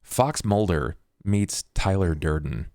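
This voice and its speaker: A man's voice: low voice, expressive, slow pace, darkish tone, dry recording, studio quality. A man chatting casually as a podcast player, repeating words for emphasis. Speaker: podcast cast member, player. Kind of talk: casual chat.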